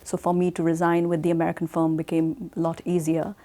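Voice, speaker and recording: A middle-aged woman from India answering interview questions in a measured way, often pausing with fillers. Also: fairly low pitch, completely flat delivery, warm tone. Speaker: guest.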